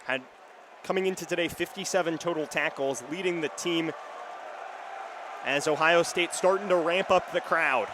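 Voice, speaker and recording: A man's voice: on the high side, moderate pace. A young man doing live radio play-by-play commentary of an American football game. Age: young adult. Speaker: radio play-by-play sports announcer. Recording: live stadium booth, clear background noise. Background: stadium crowd and outdoor ambience.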